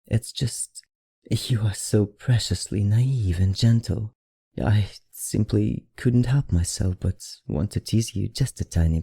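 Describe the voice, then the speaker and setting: A performer plays a low, gravelly vampire librarian in an ASMR roleplay, seductive and teasing. The voice is very breathy and often sinks into a whisper, with a put-on foreign accent. The phrasing is slow, soothing and courtly. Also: dark tone, dry recording, clean studio sound, full character voice. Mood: gentle, apologetic.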